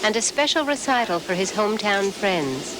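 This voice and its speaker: A woman reporter reading a scripted news profile in a measured, even delivery. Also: medium pitch. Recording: loud background noise.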